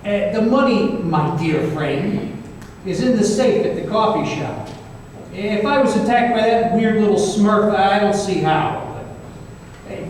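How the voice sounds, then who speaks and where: A man playing a world-weary, sardonic private investigator, narrating his case in a wry, halting way in a radio drama. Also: extremely high pitch. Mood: sardonic, exasperated. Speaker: narrator and lead detective. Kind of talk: old time radio drama performance. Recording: very echoey hall, distant mic, faint noise.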